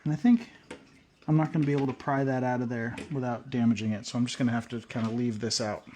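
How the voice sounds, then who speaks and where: A man's voice: mid-range voice, steady tone, fast, mellow, slight background noise. Rambling man explaining a radio project. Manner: loose and rambling, many "uh" and "um". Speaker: prepping channel host. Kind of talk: casual hands-on tutorial.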